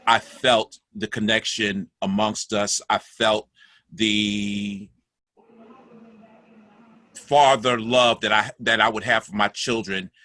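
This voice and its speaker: A middle-aged man moderates an online discussion in a fairly low voice with a bright, forceful edge. His wording is measured and a little formal, though the talk is casual. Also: no echo. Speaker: actor moderating a panel. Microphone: video call.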